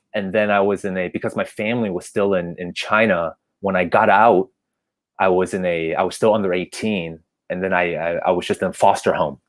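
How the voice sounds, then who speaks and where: Guy in his 30s who grew up in hong kong, low-ish voice, stumbles and restarts a lot, laughs as he talks. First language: Cantonese. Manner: halting, restarts often, laughs between stories. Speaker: guest. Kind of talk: casual interview chat.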